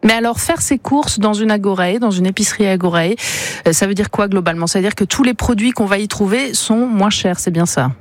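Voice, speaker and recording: A woman's voice: mid-range voice, fairly quick, no room sound. Woman hosting a radio interview. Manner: lively, jokey, rephrases and sums up the guest's answers. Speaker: radio host. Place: radio studio. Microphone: studio microphone.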